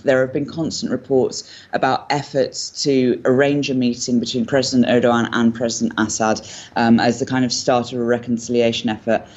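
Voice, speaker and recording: A woman correspondent reporting by remote link from Istanbul, explaining at length in a measured way with some fillers. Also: extremely low pitch, a little mellow, lo-fi sound. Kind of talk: live news report. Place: home in Istanbul.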